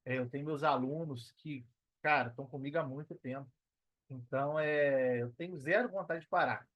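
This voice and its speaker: Brazilian guy in his 30s, kinda high voice, casual podcast chat with lots of slangy tags, dry muffled-ish sound. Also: normal speed, no room sound. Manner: conversational, peppered with slang tags seeking agreement. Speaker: podcast guest, personal trainer and affiliate marketer. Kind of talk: casual podcast interview talk.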